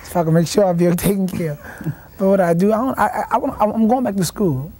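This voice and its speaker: A young man, a boxing champion answering in a TV studio interview, halting and restarting his words. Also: high voice, dark tone. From South Carolina, raised in Washington, D.C. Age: young adult. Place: TV studio set.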